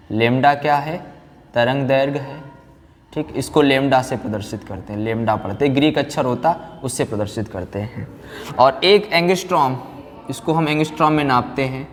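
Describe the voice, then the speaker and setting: A man teaching a physics class like a lecturer, asking questions and answering them himself. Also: medium pitch. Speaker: science teacher. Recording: very reverberant room, background noise.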